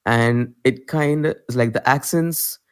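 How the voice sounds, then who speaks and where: Young guy w indian accent, chill and chatty, laughs a lot, mid pitch. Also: measured pace, clear, resonant tone. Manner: relaxed, laughs easily, loose filler-heavy phrasing. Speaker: guest musician. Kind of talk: casual interview talk.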